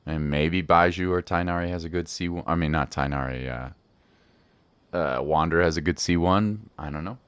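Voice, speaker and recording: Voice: low-pitched, normal speed, smooth. A streamer chatting casually over gameplay, echoing bits of the game's dialogue and reacting to it. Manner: reads along and echoes game dialogue. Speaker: game streamer. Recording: game music behind. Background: video game music and dialogue.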